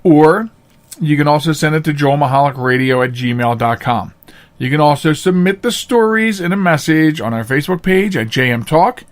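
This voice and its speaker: A man's voice: on the high side, animated, moderate pace. A man hosting a podcast with casual, chatty monologues to listeners, talking in a folksy, rambling showman's way. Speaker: podcast host.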